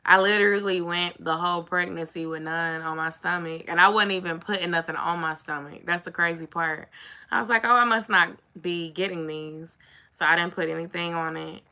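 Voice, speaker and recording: A young woman with a somewhat raspy voice, chatting casually to her viewers and saying "y'all" and "like" a lot. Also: fairly low pitch, normal speed, sharp tone. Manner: chatty, says "y'all" and "like" often. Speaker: vlogger. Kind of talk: casual chat to camera.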